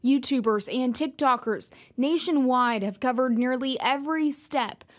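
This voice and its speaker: A woman with a high-pitched voice narrates a news report. She delivers it as a scripted television package, in a reporter's style. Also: a little slow.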